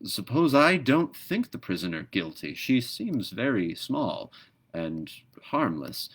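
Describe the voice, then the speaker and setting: A man's voice: fairly low pitch, big pitch swings, slow pace, fairly bright tone, slightly rough, full character voice. Man voicing a grave, hesitant storybook pelican. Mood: doubtful. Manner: hesitant, questioning. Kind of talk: storybook read-aloud character voice.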